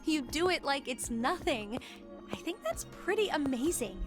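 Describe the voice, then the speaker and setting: An actress voicing an earnest young woman in a video game, admiring and quick to chide. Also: high-pitched, big pitch swings. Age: young adult. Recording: game audio with music behind.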